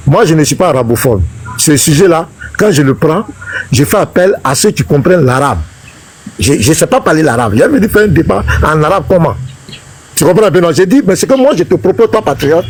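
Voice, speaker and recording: Man, high animated voice, insistent debater, faint background noise. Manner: insistent, challenging, talks over others. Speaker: pastor in an online religious debate. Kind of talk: heated live-stream debate.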